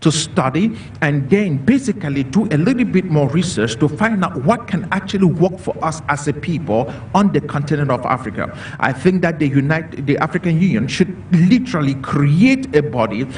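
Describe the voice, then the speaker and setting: A man's voice: on the high side, lively intonation, normal speed, hardly any pauses, a little mellow. A man from Cameroon speaking as a panelist in a discussion, earnest and repetitive, with many fillers. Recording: distant mic with faint noise.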